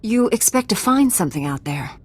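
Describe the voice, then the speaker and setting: A woman's voice: medium pitch, sing-song, fairly quick, husky. A woman playing a composed, resolute Jedi knight in a video game, speaking directly and firmly. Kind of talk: video game dialogue acting.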